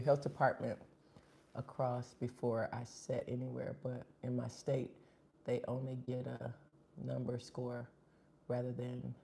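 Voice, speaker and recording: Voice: mid-range voice, creaky, mellow, a little hiss or hum. A live-stream host chatting casually between bites of food, sniffling. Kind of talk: casual live-stream chat while eating.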